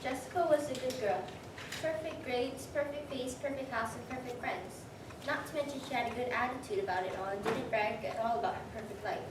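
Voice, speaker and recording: Distant, noisy room. Young female reader's theater narrator, fairly high voice, scripted storytelling. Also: fairly quick, heavy reverb.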